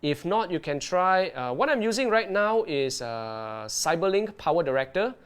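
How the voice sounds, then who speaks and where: A man presenting an underwater video tutorial and Q&A live. He talks in a lively way and keeps checking in with his viewers. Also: sits high, normal speed, smooth. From Malaysia.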